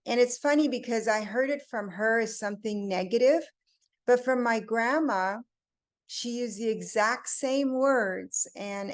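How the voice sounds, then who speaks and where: Older woman sharing a reflective personal testimony. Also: mid-range voice. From Belgium and Italy. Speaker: group member giving a personal testimony. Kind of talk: personal faith testimony, unscripted storytelling.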